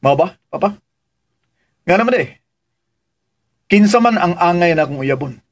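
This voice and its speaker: A high, husky voice with a Filipino accent: a stubborn grown son in a radio drama. He is defensive and insistent, firing questions back as he stands up for the woman he loves. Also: expressive, normal speed. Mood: indignant, challenging.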